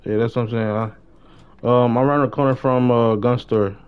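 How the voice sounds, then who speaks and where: A man's voice: mid-range voice, fairly even intonation, normal speed, clean tone, highs cut off. Young American man, slangy gamer talk. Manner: slangy, repeats short phrases. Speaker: live streamer playing a role-play game. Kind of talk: casual gaming chat.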